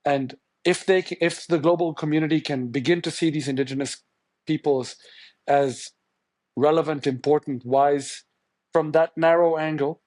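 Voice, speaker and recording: Male journalist guest, Tamil first language, from India; musing, halting delivery, dry studio sound. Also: on the high side, steady tone, a little mellow, dry recording. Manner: thoughtful, searching, many restarts and fillers. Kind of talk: podcast interview answer.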